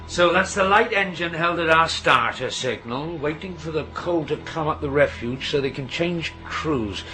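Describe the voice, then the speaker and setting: An actor playing a gruff, irritable signalman and father in a radio drama, with a brusque, impatient manner. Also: on the high side, normal speed, sharp tone, faint music behind. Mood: busy, brisk. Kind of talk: naturalistic radio drama acting.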